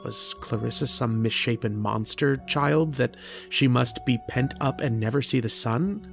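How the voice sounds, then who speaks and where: Radio drama lead: male actor as erudite, lonely lodger, introspective and uneasy, creaky mid-range voice, literate phrasing. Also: mid-range voice, a bit bright, faint music behind. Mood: brooding. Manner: literate, deliberate, introspective, with hesitant starts. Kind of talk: old-time radio drama acting with first-person narration.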